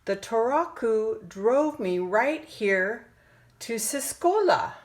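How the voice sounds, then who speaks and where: A woman reading a children's picture book aloud in a dramatic, drawn-out way with suspenseful pauses. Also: a little high, animated. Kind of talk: animated children's storybook read-aloud.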